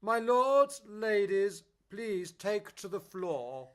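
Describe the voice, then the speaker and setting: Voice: mid-range voice, sing-song, slow pace, fairly bright tone. An actor playing a stately lord chamberlain, proclaiming formally in a radio play.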